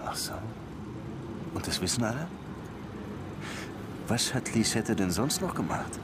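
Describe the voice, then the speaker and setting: An actor playing a brusque, world-weary, sardonic Havana police lieutenant in a radio crime play, terse and colloquial. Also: male voice, fairly low pitch, very animated, a little slow, heavy background noise. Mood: terse, probing. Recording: music behind the voice.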